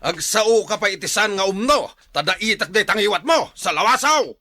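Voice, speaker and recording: A man's voice: sits high, animated, moderate pace, sharp tone, exaggerated voice. An actor playing an angry, threatening man who scolds a gossip in a radio drama. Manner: menacing, scolding.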